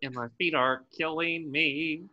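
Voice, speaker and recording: A man's voice: fairly high pitch, fairly even intonation, unhurried, bright, forceful tone, muffled recording. A man playing a grumpy, exasperated cartoon squid cashier in a table reading, irritable and sarcastic. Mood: sour, exasperated.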